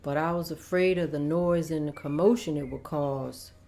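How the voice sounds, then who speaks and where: A woman's voice: low-pitched, moderate pace, vocal fry, warm tone, a little hiss or hum. A woman playing a humble, downcast young servant, subdued and deferential, in a scripted table read. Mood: ashamed, subdued.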